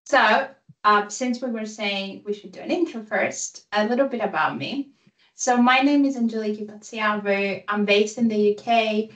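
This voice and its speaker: A woman's voice: medium pitch, moderate pace, fairly bright tone. A woman in her thirties from Greece and Italy with a Greek accent, giving an enthusiastic presentation as a guest speaker on a video call, with a light joking tone. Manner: upbeat, enthusiastic, self-deprecating humor. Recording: roomy sound, voice a bit far from mic.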